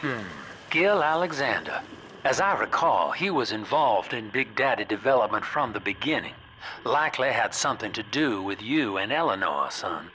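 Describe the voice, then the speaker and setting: A worldly, folksy mentor in a game, thinking aloud in conversational fashion. His mid-pitched voice is bright and forceful, with faint noise behind it. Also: big pitch swings, moderate pace. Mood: musing.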